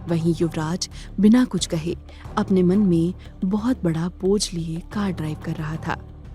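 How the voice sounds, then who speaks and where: Low, mellow woman narrator. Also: unhurried, faint music behind. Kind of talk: scripted audio drama narration.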